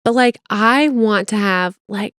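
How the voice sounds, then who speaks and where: A middle-aged woman with a General American accent co-hosts a podcast. She talks in a chatty, expressive, self-deprecating way. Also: medium pitch, unhurried, no echo, studio quality. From United States. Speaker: podcast co-host. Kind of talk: casual chat.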